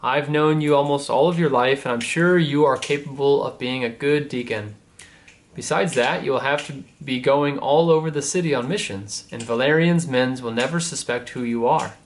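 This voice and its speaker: A man's voice: mid-range voice, normal speed, slight background noise, close to an everyday voice. A man voicing a kindly old Pope in a storybook, speaking in an assured, fatherly way.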